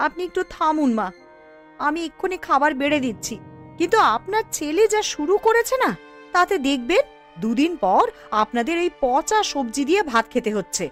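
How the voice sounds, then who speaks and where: A woman voicing an outspoken young village housewife in an animated cartoon story, brisk, homely and nagging. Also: high voice, faint music behind. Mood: exasperated.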